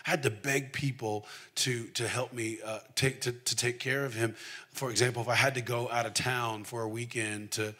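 Forceful, candid male preacher. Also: medium pitch, fairly even intonation, normal speed. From the southern United States. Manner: candid, with emphatic repetition. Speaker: preacher giving testimony. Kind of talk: conversational sermon with personal storytelling.

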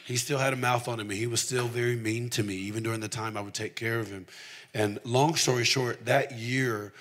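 A male preacher from the southern United States with a bright, forceful voice in a middle register. He speaks conversationally and candidly, building personal testimony with repeated, emphatic phrases. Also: mid-range voice, fairly even intonation, fairly quick. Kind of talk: conversational sermon with personal storytelling.

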